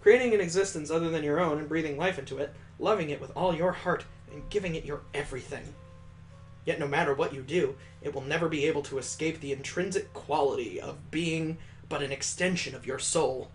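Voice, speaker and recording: A man hosting a gaming video, reading visual novel text aloud in a playful, expressive way and adding joking asides of his own. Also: fairly high pitch, brisk, faint music behind, low-quality recording.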